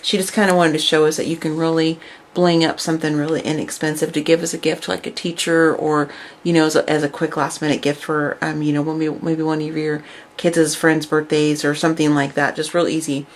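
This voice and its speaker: A woman casually showing her craft projects and chatting about them, her voice raspy from overuse and her talk full of fillers. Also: sits low, level tone, fairly quick, slight background noise. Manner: chatty, filler-heavy, enthusiastic. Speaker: craft vlogger. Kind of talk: casual show-and-tell.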